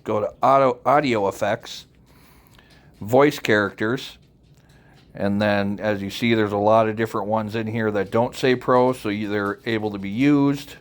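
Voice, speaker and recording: A man narrating an unscripted software tutorial, informally walking the listener through it step by step. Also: fairly low pitch, fairly even intonation, unhurried. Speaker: tutorial presenter.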